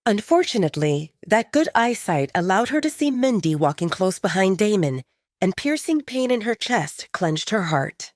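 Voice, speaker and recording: A woman reading a scripted story as an audiobook narrator, with an expressive, dramatic storytelling delivery. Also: medium pitch, normal speed, dry recording, studio quality.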